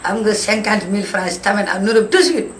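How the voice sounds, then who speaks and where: An actor voicing an excitable, loudmouthed schemer in a cartoon, with a Senegalese accent and an exclamatory, scolding delivery. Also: medium pitch, quick pace, natural voice. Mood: boastful. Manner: exclamatory, scolding, switching between two languages. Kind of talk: animated cartoon voice acting in comedy. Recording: roomy, echoing, with background noise.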